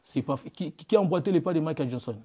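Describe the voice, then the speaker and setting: A man with a Congolese accent and a fairly high, very mellow voice answers in an interview. He argues emphatically and defensively, stumbling over and repeating his phrases. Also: animated, fast talker, husky. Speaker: guest, music animator.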